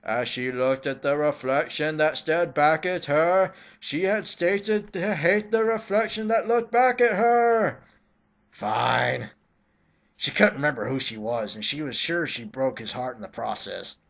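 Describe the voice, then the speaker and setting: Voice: medium pitch, a bit bright. A reader giving a mock dramatic reading in a theatrical, exasperated way, breaking off for sarcastic asides. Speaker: reader of fan fiction.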